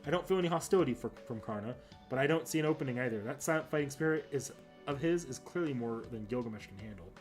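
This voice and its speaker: Guy reading out story text from a game, middle pitched voice, music going behind him. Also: animated, quick pace. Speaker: game narrator, club member.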